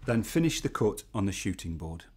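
A man giving measured, step-by-step woodworking instruction as a scripted studio voice-over. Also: fairly low pitch, sing-song, darkish tone, slightly rough. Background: music.

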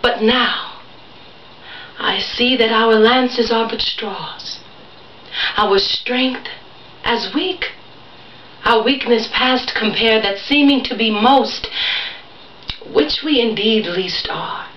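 Woman doing proud shakespeare wife, slow grand stage delivery, kinda high, far from mic in echoey room. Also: muffled recording, slight background noise. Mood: earnest, admonishing. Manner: declamatory, deliberate, measured. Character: proud Shakespearean wife preaching obedience to other women. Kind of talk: classical stage monologue.

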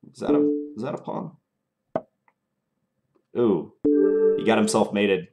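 Low-voiced man muttering chess moves. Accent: mild Minnesota. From Minnesota. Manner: thinking aloud, muttering moves. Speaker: chess streamer. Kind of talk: live chess commentary while playing. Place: small indoor room at home.

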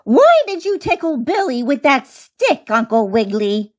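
A woman voicing a prim lady mouse schoolteacher with a squeaky voice, politely scolding in a children's storybook. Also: very animated, unhurried, full character voice. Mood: disappointed. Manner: polite, reproachful.

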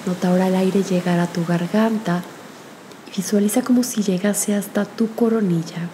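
A woman leading a guided spiritual meditation in a gentle, soothing manner with long pauses. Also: medium pitch, steady tone, a little hiss or hum, low-quality recording.

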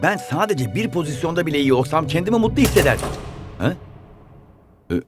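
A man's voice: a little high, lively intonation, a little fast, over music, lightly acted. A man playing an earnest, eager young footballer hero in a cartoon, speaking expressively. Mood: subdued, unsure. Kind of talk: cartoon dubbing.

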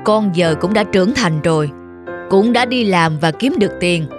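A woman's voice: on the low side, animated, moderate pace, over music, lightly acted. A woman voicing a stern middle-aged father in a cartoon drama, firm and matter-of-fact.

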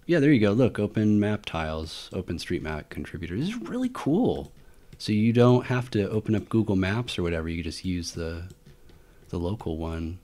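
A man's voice: low-pitched, very animated, moderate pace, dark tone. A man hosting a hobby tech video, talking informally and thinking aloud as he walks through things on screen. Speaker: host of a hobby tech channel. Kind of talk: casual screen-recording walkthrough. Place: indoor voice-over for a screen recording.

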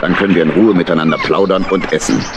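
A man's voice: sits low, fast talker, dull audio, very noisy. An actor playing a genial, hospitable badger boatman in a children's radio play.

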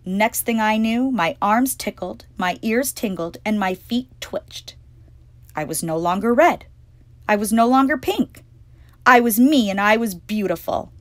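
A woman reads a children's picture book aloud as a storytime host. Her medium-pitched voice moves up and down in a very animated way, with expressive phrasing, and sounds close and dry. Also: measured pace, no echo.

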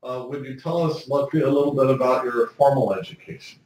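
A man's voice: medium pitch, fairly even intonation, a little fast, darkish tone, slight background noise. A middle-aged man interviewing in an office meeting room, prompting with friendly questions. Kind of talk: oral history interview. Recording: roomy, distant office recording.

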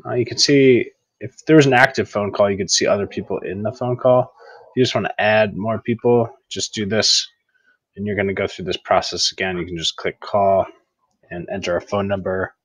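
Guy doing a casual tutorial on an earbud mic, low voice, kinda halting and informal. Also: fairly even intonation. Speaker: tutorial presenter, educator. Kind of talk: casual screen-recording tutorial. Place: room at home, indoors. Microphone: earbud headset microphone.

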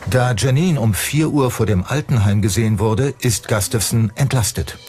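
A man's voice: medium pitch, moderate pace. A man narrating a true-crime documentary in a scripted style. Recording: music under the voice. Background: background music.

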